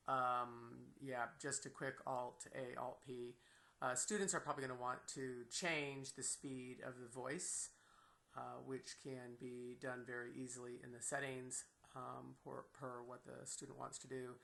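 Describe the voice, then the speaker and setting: A woman giving an unscripted, enthusiastic screen-share software tutorial as a teacher, with a creaky voice. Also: a bass voice. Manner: enthusiastic, exclaims in delight.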